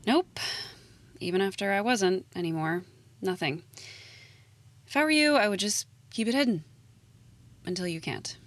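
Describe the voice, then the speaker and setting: A woman's voice: mid-range voice, expressive, moderate pace, long pauses, no room sound, lightly acted. A woman playing a jaded, blunt schoolteacher with a dry, sardonic manner, in a naturalistic audio drama. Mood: sardonic warning. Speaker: actor in an audio play.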